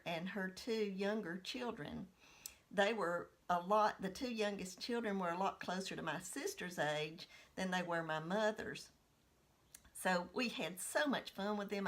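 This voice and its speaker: A woman in her sixties telling a personal childhood story in an unhurried, reminiscing manner. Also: mid-range voice, a bit bright. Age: 60s.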